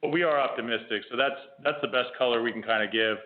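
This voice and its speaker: A man speaking as a company executive on a conference call, explaining business in a measured way over the phone. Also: medium pitch. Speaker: company executive answering analysts. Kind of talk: earnings conference call answers. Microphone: telephone. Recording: tinny phone conference line.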